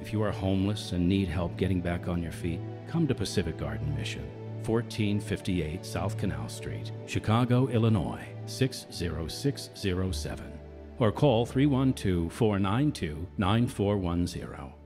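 A man announces as host of a radio drama in a polished, earnest scripted read. His voice sits fairly low, with music clearly playing behind him. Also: animated, normal speed.

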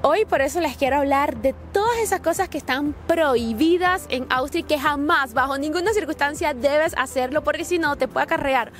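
A young woman vlogging outdoors in a park, talking straight to her viewers in a lively, chatty way about rules for visitors. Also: sits high, a little fast, few pauses, soft background music. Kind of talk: advice vlog to camera. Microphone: small handheld clip mic.

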